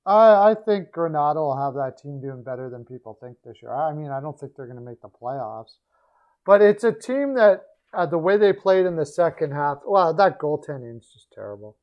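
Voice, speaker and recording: Man, hoarse voice, tired hesitant stream host, muffled lo-fi audio. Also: lively intonation, normal speed. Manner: low-energy, trailing off, hesitant restarts. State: hoarse, losing his voice. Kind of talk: casual hockey chat with viewers.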